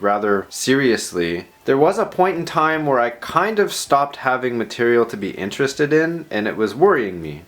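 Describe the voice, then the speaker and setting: A man narrating a personal vlog in an informal, unscripted, self-deprecating way, rambling as he goes. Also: medium pitch. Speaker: video host.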